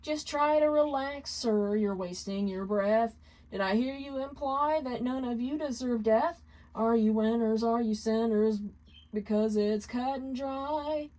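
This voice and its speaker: A woman acts out a vengeful demon ex-husband, pitched up from plain talk. He is cold, indignant and mocking, in a theatrical story reading. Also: a little slow, darkish tone, natural voice, rough recording. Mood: mocking, singing. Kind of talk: dramatic fan fiction reading, partly sung.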